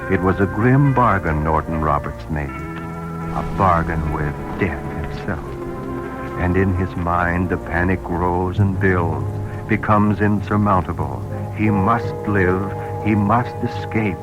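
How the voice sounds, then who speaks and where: An actor playing an ominous vintage radio drama narrator, speaking with grave, portentous phrasing and theatrical pauses. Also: male voice, low voice, poor audio. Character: solemn, ominous male radio mystery narrator. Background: music.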